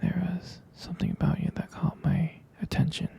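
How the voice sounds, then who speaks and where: A man speaking in a breathy, husky whisper, tender, soothing and halting, as a boyfriend in a bedtime ASMR roleplay, close to the microphone. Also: warm tone. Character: tender, protective boyfriend lulling partner to sleep.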